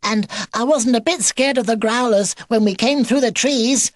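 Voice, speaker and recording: A man voicing a small, proud and excitable young beaver with a squeaky, babyish way of talking, for a storybook. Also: normal speed, dry recording, studio quality. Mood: proud, eager. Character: little boy beaver, eager, proud, bouncy grandson.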